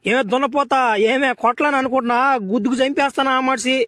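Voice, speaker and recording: Very high-pitched ranting cartoon man playing a loudmouth. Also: level tone, fast, dry recording, clean studio sound. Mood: boastful, blustering. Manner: ranting, slangy, exclamatory. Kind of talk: Telugu comedy cartoon spoof dubbing.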